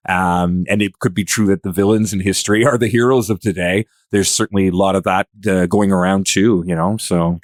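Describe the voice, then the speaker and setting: A man's voice: low voice, steady tone, a little fast, few pauses, no echo, studio quality. A man hosting a casual podcast discussion, reflective and hesitant, often rephrasing as he goes. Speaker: podcast host.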